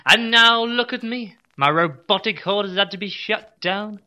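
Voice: mid-range voice, normal speed, pushed and bright. An actor playing a weary, self-pitying evil-genius doctor in a comedy audio drama, grumbling with resigned melodrama.

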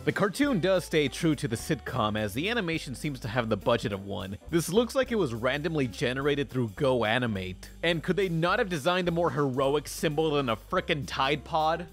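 A man's voice: on the high side, very animated, moderate pace, few pauses. A man hosting a review show, reading a scripted, sarcastic and mocking commentary. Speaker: host of a cartoon review show. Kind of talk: scripted review narration with sponsor read. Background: music bed.

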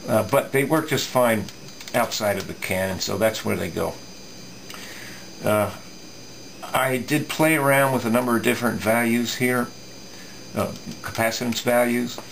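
A middle-aged man giving a casual hands-on technical explanation, thinking aloud haltingly with folksy asides. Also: medium pitch, vocal fry, fairly bright tone, a little hiss or hum, rough recording. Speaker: radio repair hobbyist presenting. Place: indoor electronics workbench.

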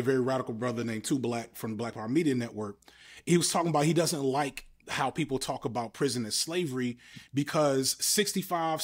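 A man in his thirties on a headset video call, thoughtful and rambling with many fillers. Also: mid-range voice. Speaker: panel guest. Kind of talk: casual political chat. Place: home room, green wall. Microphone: headset, laptop video call.

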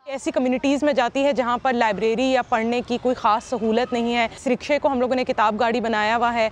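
Young woman, program staffer, high voice, calm explainer, outdoor interview, faint noise. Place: outdoors beside a mobile library.

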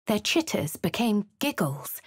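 A woman voicing a refined, posh young adventurer with a British accent, speaking crisp, clipped game lines and narration. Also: medium pitch, sing-song, a little slow, no echo, studio quality. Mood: composed. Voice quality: crisp, well-spoken. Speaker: player character.

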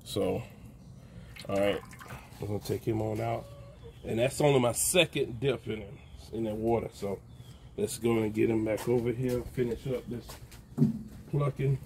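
An older man giving a relaxed, folksy how-to narration outdoors in a backyard while he works with his hands. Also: on the low side, moderate pace, pauses often, a little hiss or hum. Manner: folksy, relaxed, talks while plucking, calls out "baby". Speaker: backyard homesteader. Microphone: phone camera held close, hands-on filming.

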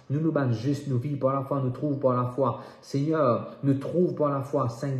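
Echoey, mellow male preacher praying. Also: medium pitch. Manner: incantatory, repeating phrases with emphasis. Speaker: preacher leading prayer. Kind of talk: fervent prayer and declaration, repetitive. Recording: echoey room sound.

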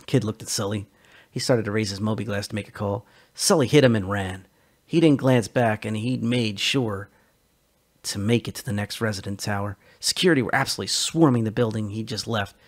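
A man narrating a science fiction short story as a dramatic reading, measured and expressive. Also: on the low side.

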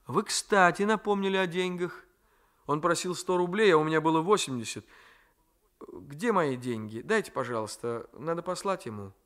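A man reading a novel aloud as a stubborn, ironic gentleman who argues and teases. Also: fairly high pitch, moderate pace, close to an everyday voice. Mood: matter-of-fact. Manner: argumentative, teasing. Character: stubborn, ironic gentleman grandson standing his ground.

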